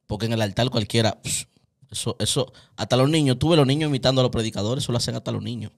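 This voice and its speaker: Animated Dominican man hosting a podcast. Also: mid-range voice, fast, creaky. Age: 30s. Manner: animated, gestures widely, preachy. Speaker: host. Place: small indoor home studio. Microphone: studio mic on stand, wearing headphones.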